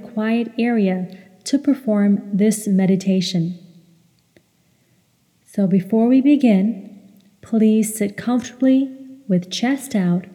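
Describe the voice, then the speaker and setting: A woman narrates a guided meditation in a very soft, dark, relaxed tone. She speaks slowly and soothingly, with measured instruction, and her voice trails off in a long reverb. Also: medium pitch.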